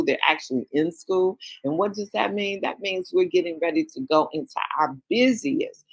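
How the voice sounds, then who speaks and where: A husky-voiced woman with a lowish pitch speaks on a video call to her sales team. She coaches with urgency, firing off question after question. The tone is motivational. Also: low-quality recording. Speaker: sales leader addressing a team.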